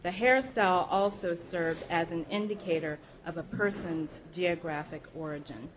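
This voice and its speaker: A woman's voice: lowish voice, normal speed, noisy background. A woman reading book excerpts aloud to an audience at a live library program. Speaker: reader at a public library program.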